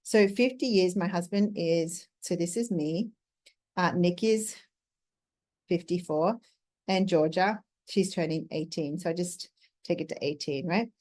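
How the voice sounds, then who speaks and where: A woman's voice: lowish voice, measured pace, darkish tone. Chatty woman turning fifty. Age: 50s. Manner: chatty, explains step by step, often adds "right?". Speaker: Ayurveda coach. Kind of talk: casual talk to camera.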